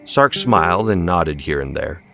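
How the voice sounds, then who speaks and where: A man's voice: deep voice, expressive, a little slow, vocal fry. A man reading a dramatic story as scripted audiobook narration. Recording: music behind the voice. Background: background music.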